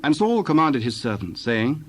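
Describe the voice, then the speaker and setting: A man narrates scripture in a measured, formal manner. His voice is mid-pitched, with faint background ambience behind it. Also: big pitch swings, slight background noise.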